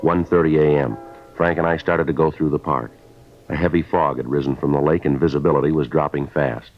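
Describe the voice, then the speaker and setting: An actor playing a terse, businesslike police detective in a 1950s radio drama, deadpan and clipped, on a dull vintage recording. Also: male voice, rumbling low, fairly quick, soft background music.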